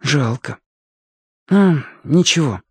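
A man's voice: fairly high pitch, animated, takes it slowly, breathy edge, dry recording, voice pushed up, heavily acted. A man voicing a polite, earnest young boy in a children's audiobook tale. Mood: wistful. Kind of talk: acted audiobook dialogue.

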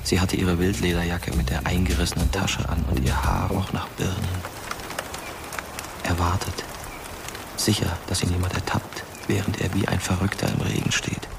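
An actor playing a wistful dead teenage boy, narrating a radio drama quietly and intimately over music. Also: low voice, monotone, normal speed, vocal fry. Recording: music bed behind the voice. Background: loud atmospheric music.

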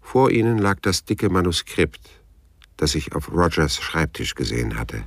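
An actor playing a cool, persistent private detective in a German radio crime drama, narrating and questioning in a dry, laconic, husky voice. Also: male voice, low-pitched, big pitch swings, moderate pace, full character voice. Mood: dry, wry narrating. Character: hard-boiled private detective narrating his own story, cool and persistent.

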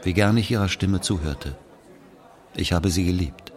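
A man's voice: deep voice, normal speed, very noisy, close to an everyday voice. A man playing a wistful Algerian narrator recalling love and loss in a literary audio drama. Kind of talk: dramatic first-person narration.

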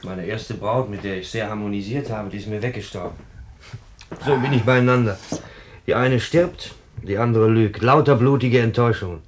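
A man plays a brooding radio-drama policeman who feels sorry for himself. The voice sits low, weary and grumbling, with some noise and clicks behind it. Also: normal speed, natural voice. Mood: bitter self-pity. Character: dejected, self-pitying male policeman, unlucky in love.

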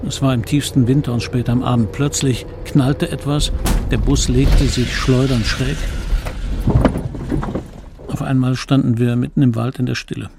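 A man playing a middle-aged narrator who wistfully recalls his childhood, measured and sombre, in a radio drama. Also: mid-range voice, fairly even intonation, gravelly, noisy background, lightly acted. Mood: reflective. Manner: measured, reflective, sombre storytelling.

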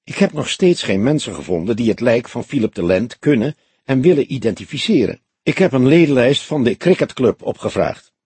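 A man's voice: medium pitch, lively intonation, a little fast, a little mellow, husky, no room sound. A man voicing a young police detective, grim, eager and terse, in audiobook dialogue. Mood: urgent.

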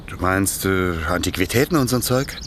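Low-voiced, wary petty thief. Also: male voice, a little fast, fairly bright tone, a little hiss or hum. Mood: astonished, wavering. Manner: colloquial, hesitant, muttering exclamations of doubt. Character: wary, plain-spoken petty thief, reluctant male accomplice. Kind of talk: naturalistic German radio play dialogue.

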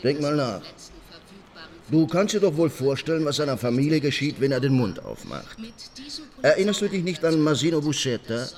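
An actor playing a cynical, insinuating Sicilian mafioso who confides and threatens in a German radio crime drama. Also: male voice, fairly high pitch, animated, normal speed, a little mellow. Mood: menacing.

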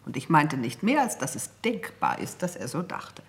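An actress playing a cool, mocking older mistress of a genteel household in a radio play, speaking in a dry, prim, formal manner. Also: female voice, low voice, very animated, normal speed. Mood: measured, narrating. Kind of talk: radio drama acting.

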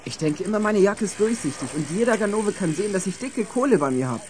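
A high-pitched teenage boy, somewhat anxious, in a German youth audio drama. He speaks over clearly audible ambient noise. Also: animated, quick pace, darkish tone. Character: anxious chubby teenage boy, worried about carrying money. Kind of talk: German youth radio play acting.

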